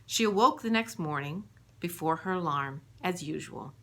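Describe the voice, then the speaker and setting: Woman, somewhat low pitch, author-narrator, measured storytelling, scripted audiobook read. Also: animated. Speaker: author reading her own book.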